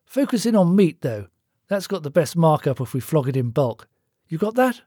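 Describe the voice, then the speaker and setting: A man's voice: fairly high pitch, very animated, normal speed, warm tone, breathy edge, dry recording, clean studio sound. A man voicing a brash, bossy male office boss in an audiobook story, blunt and matey as he gives orders. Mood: brisk, instructing.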